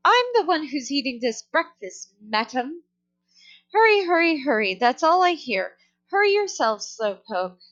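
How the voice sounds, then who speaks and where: A woman voicing a rude, bossy female pet parrot in a children's storybook, yelling repetitive, mocking phrases on a dull recording. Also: high voice, sing-song, a little slow, full character voice. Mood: indignant. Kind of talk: children's storybook read-aloud. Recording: dull, lo-fi sound.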